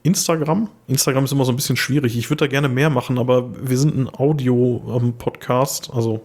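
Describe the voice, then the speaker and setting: A slightly hoarse young man co-hosting a casual podcast, rambling with lots of fillers and restarts. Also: medium pitch, steady tone, fairly quick, darkish tone. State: a little hoarse. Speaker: podcast co-host. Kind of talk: casual chat.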